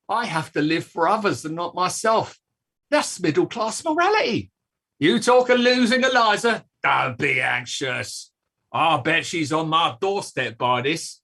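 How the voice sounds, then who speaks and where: An actor playing an aggrieved old dustman with a raspy voice, declaiming his complaints in a staged reading. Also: sits high, pushed and bright, heavily acted. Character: blustering, aggrieved old working-class English dustman.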